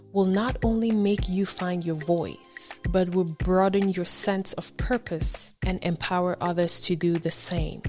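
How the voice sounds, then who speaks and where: A woman hosting a podcast, presenting in a polished, upbeat way. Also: on the low side. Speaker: podcast host.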